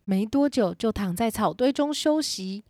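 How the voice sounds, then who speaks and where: A woman's voice: fairly high pitch, expressive, measured pace, warm tone, dry recording, clean studio sound. A woman narrating a scripted children's story.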